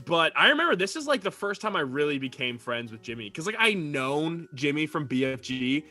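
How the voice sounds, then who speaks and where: A young male podcast co-host in casual chat with friends. His voice is fairly high for a man and bright, with halting, repetitive phrasing. Faint music sits behind him. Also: sing-song. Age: young adult. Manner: informal, halting, repeats words.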